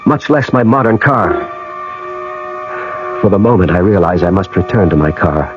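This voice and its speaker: Intense American traveller, very animated. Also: male voice, sits low, moderate pace, music in the background. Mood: bewildered. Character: earnest, determined American traveller searching in Ireland. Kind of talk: radio drama acting with first-person narration. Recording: dull lo-fi vintage radio recording.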